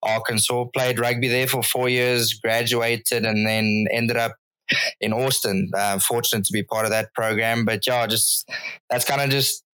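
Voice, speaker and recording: A young man, a rugby player speaking as a guest in a relaxed, friendly way. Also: medium pitch, normal speed, clear, resonant tone, no room sound, rough recording. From South Africa. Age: young adult. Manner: relaxed and friendly, with many fillers. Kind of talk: casual interview.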